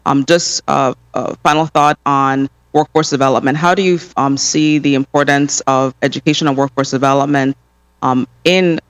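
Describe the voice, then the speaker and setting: A man's voice: medium pitch, a bit bright. A man serving as a senator, asking a long question in a committee hearing, hesitant with many fillers.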